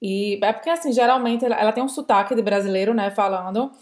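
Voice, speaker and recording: A Brazilian woman with a Brazilian Portuguese accent, co-hosting a casual language lesson in a lively, explanatory way. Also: a little high, quick pace. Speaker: French teacher, co-host.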